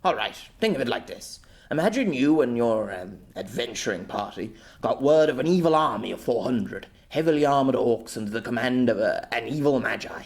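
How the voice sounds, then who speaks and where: A man's voice: medium pitch, expressive, brisk, hardly any pauses, close to an everyday voice. A man voicing a stuffy, proud old former knight with a formal, hesitant manner, in an audiobook story. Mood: explaining.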